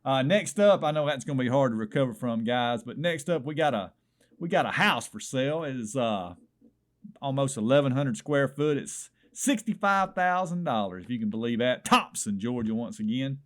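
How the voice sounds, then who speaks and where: A folksy man calling in to a small-town radio trading show, talking things over at mid pitch. He rambles, circles back on himself and keeps turning to the host as brother. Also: lively intonation, normal speed. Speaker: radio swap shop caller. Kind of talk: comedic call-in segment reviewing listings.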